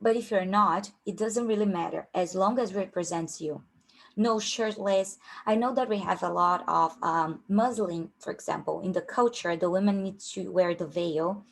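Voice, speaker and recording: A woman's voice: on the low side, normal speed, vocal fry. Young Brazilian-accented woman coaching, casual workshop talk, lots of fillers. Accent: Brazilian Portuguese. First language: Portuguese. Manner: informal, many fillers and restarts. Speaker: career coach.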